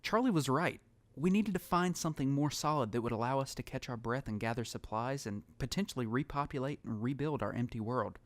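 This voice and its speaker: A man's voice: medium pitch, expressive, fairly quick, hardly any pauses, no echo, lightly acted. A man playing a lonely, haunted survivor, narrating his story in a somber, reflective way for an audio drama. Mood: thoughtful. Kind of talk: scripted first-person audio drama narration. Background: music.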